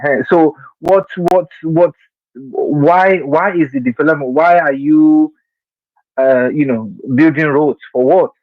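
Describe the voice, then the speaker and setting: A man calling in to complain about politics, halting and repeating words for emphasis. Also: a little high, no echo. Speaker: phone-in caller. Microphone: phone. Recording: muffled, band-limited phone call.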